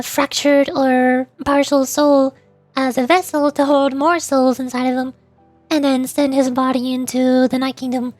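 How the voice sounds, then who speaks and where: Streamer, female, high voice, dramatic in-character game reading, dry close mic. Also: no room sound. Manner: earnest, dramatic reading with stumbles over words.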